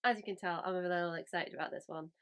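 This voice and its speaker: A woman's voice: on the low side, fairly even intonation, quick pace, a bit bright, clean tone. A woman who is ill with a cold, chatting casually about a story she read, rambling with many fillers and restarts. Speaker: book review host. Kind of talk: casual book review chat.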